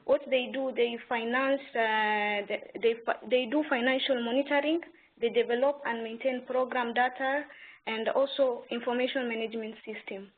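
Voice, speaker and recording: A woman's voice: on the high side, fairly even intonation, moderate pace. A young woman from Kenya, an intern, methodically explaining her department in a list-like way. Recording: tinny phone-like sound with faint hiss.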